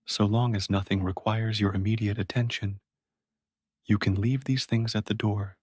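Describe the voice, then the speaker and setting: A man speaking slowly and softly as he guides a sleep meditation, with long soothing pauses. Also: fairly low pitch, fairly even intonation, breathy edge, no room sound, studio quality.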